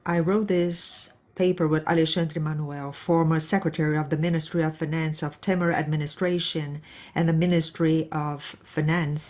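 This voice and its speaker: A woman's voice: deep voice, level tone, moderate pace. A woman interpreting live on a corporate earnings call, speaking phrase by phrase with occasional self-corrections. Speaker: simultaneous interpreter. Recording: dull, lo-fi conference call audio.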